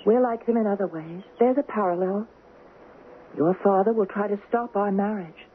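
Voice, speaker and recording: A woman's voice: mid-range voice, moderate pace, close to an everyday voice. Actress doing young woman in love, earnest n haunted, 70s radio drama, tinny noisy recording. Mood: pleading, intense. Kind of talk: 1970s radio drama acting.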